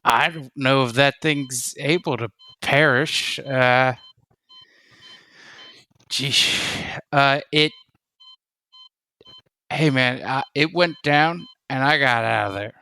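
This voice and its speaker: Man playing a shaken, sharp-voiced young-sounding army survivor. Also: medium pitch, clear, resonant tone. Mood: shaken, rattled. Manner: halting, slangy, says "man" and "like" often. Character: shaken male army soldier, sole survivor, wary and informal. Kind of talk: improvised tabletop roleplay.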